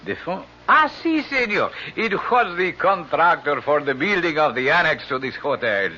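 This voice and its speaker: A man playing a polite, deferential hotel employee with a Spanish accent and formal, measured phrasing in a radio drama. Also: fairly high pitch, sing-song, bright, forceful tone, slight background noise. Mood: evasive, polite. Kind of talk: old-time radio drama acting. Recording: muffled old radio broadcast, music underneath.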